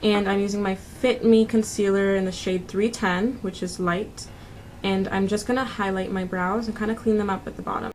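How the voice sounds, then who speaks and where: A woman's voice: mid-range voice, steady tone, normal speed, a little mellow, a little hiss or hum. A woman in her twenties narrating a makeup tutorial in a casual, informal, conversational way as a beauty vlogger. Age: 20s. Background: background music.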